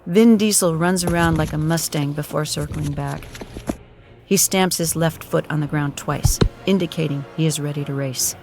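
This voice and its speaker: A man playing a solemn administrator who narrates absurd events in a deadpan, grandiose storytelling style. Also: on the high side, normal speed, background noise, close to an everyday voice. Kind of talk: scripted-sounding storytelling narration. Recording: prominent music behind the voice.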